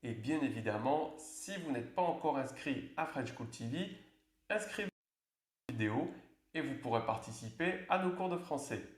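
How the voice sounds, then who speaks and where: A man presenting an online French course, clearly explaining how an oral exam works. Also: mid-range voice, expressive, moderate pace, echoey room. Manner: clear, instructive. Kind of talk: scripted exam tutorial narration.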